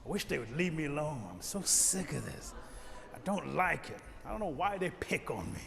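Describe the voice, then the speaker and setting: A man's voice: fairly high pitch, very animated, moderate pace, creaky, crowd noise. A man voicing a small, picked-on turtle who whines and boasts in an animal folktale, with emphatic exclamations. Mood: whiny complaint. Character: small male turtle, picked-on, whiny, turning boastful mighty warrior. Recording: long hall reverb, some noise.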